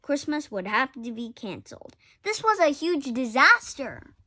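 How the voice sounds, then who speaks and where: A woman's voice: sits high, sing-song, measured pace, pushed and bright. A woman reading a children's picture book aloud expressively, with occasional stumbles and self-corrections. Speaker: story reader.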